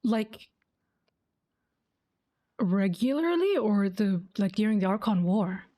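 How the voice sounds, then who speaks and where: A woman's voice: mid-range voice, very animated, slow pace, mellow, dry recording, clean studio sound. A woman streamer giving casual play-along commentary on a video game, musing aloud informally.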